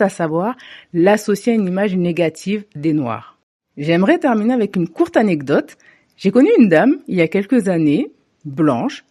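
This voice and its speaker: Measured woman with a mellow voice. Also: medium pitch. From Africa. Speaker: commentator. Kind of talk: scripted opinion monologue.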